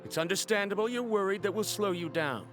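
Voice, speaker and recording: Scholarly male traveller for a game: formal, courteous, stubborn, fairly high voice with animated inflection. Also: over music. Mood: formal persuasion. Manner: polite, measured, formal wording. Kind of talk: video game voice acting.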